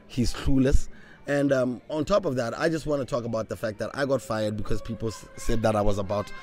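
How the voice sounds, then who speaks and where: A young man speaks bluntly and with heat, his voice sitting at an ordinary middle pitch. He is a podcast guest mixing English with Setswana, recorded on a boom mic at an outdoor table. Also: steady tone, fairly quick, a little mellow. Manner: blunt, heated, mixes in Setswana. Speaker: podcast guest, former radio presenter. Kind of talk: casual interview talk. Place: outdoor table on a campus courtyard. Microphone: studio mic on boom arm.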